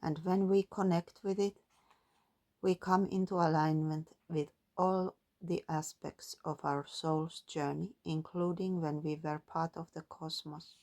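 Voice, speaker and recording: A woman doing a calm, musing spiritual card reading, partly reading guidebook text aloud. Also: low voice, unhurried. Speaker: tarot and oracle card reader.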